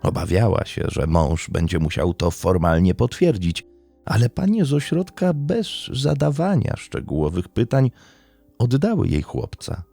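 A man narrating a scripted true-crime documentary in a measured, serious way. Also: fairly low pitch, huge pitch swings, vocal fry, warm tone, faint music behind.

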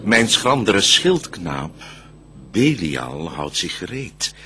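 A man's voice: sits low, sing-song, slow, pushed and bright, slight background noise, heavily acted. A man playing a cunning, calculating and defiant devil in a classical verse radio play, speaking with theatrical emphasis. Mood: defiant, eager.

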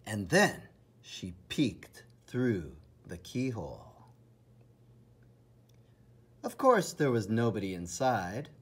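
A man reading a children's storybook aloud with enthusiastic, theatrical emphasis and dramatic pauses in a husky, breathy voice. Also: on the low side, huge pitch swings. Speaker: storybook reader. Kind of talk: children's read-aloud narration.

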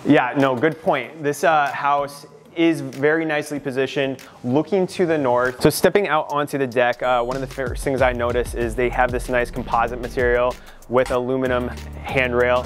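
A man in his thirties, a real estate agent narrating a walkthrough of a house in an upbeat, informative way. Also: mid-range voice. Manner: upbeat, informative salesman patter. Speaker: real estate agent giving a home tour. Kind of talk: walkthrough home tour narration. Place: inside a renovated house.

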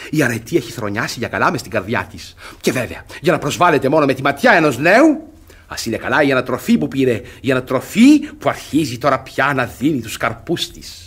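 An actor playing a smug, jealous, gullible middle-aged guardian in a theatrical radio comedy, excitable and repeating words. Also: male voice, mid-range voice, breathy edge. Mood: smug admiring.

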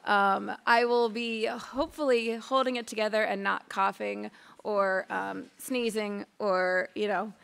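A woman's voice: mid-range voice, fairly even intonation, a little slow, vocal fry, a bit bright, clean tone. A woman, who has a cold, introduces a conference panel from a podium microphone in a large lecture hall, enthusiastic and chatty with many fillers. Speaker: conference moderator.

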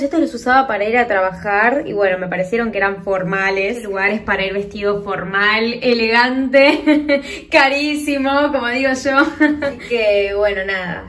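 A woman's voice: a little high, fairly bright tone. A woman in her twenties with an Argentine accent, chatting casually and effusively to a phone camera as a vlogger. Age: 20s. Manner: effusive, gushing. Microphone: phone at arm's length.

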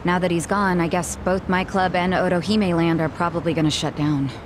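A woman's voice: fairly low pitch, steady tone, fairly quick, noisy background, natural voice. An actress playing a blunt, sarcastic young woman bartender in a video game. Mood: frank. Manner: brusque, sarcastic. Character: blunt, prickly young female cabaret bartender. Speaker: voice actor in a game. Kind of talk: English video game cutscene dub. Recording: music behind the voice.

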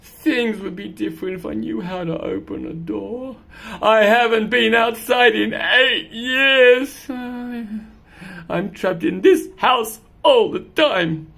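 A woman's voice: on the high side, gravelly, fairly bright tone, slightly rough, voice pushed up, exaggerated voice. A woman voicing a grumpy, bored indoor cat in a picture-book read-aloud, whiny and melodramatic. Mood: bored, mournful. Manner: whiny, melodramatic, drawn-out delivery.

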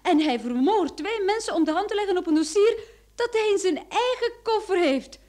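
A woman playing an earnest, quick-witted young sleuth in an old Dutch radio drama, speaking with dramatic expression. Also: extremely high pitch, lively intonation, a little mellow. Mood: keen, deducing.

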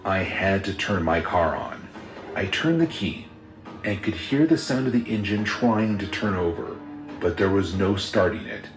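A man reading a first-person horror story in a steady, measured storytelling voice. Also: low voice. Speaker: narrator. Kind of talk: scripted horror story narration. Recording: roomy sound with music underneath.

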